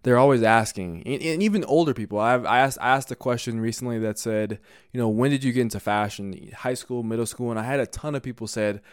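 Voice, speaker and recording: A man's voice: medium pitch, fast, clear, resonant tone, dry recording, studio quality. A man hosting a casual interview, upbeat and encouraging. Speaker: host.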